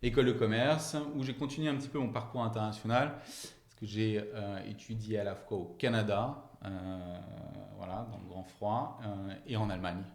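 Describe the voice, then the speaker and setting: A man's voice: lowish voice, fairly even intonation, moderate pace. A man in his late thirties answering casually as an interview guest, hesitating and repeating words as he thinks. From France and Germany. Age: late 30s. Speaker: company founder, podcast guest. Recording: roomy, echoing interview sound.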